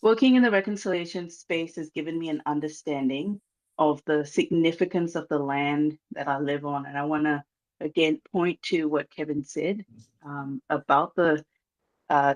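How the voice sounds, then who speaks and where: A speaker from Malaysia with a Malaysian accent, giving an earnest, measured address over a video call, pausing often with um. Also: mid-range voice, poor audio. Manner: earnest, measured, many um pauses. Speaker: guest speaker from a reconciliation organization. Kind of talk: formal address at a launch event.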